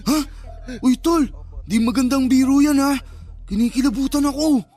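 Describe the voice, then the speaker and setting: An actor playing a nervous, well-meaning young cousin in a radio drama, colloquial and concerned. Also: male voice, very high-pitched, normal speed, dark tone. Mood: alarmed.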